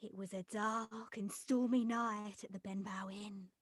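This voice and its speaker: A woman voicing an eager, bookish little earthworm in a preschool cartoon, with expressive, exclaiming delivery. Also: mid-range voice, a little slow, no echo, studio quality, close to an everyday voice. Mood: hushed wonder. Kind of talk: animated cartoon table read.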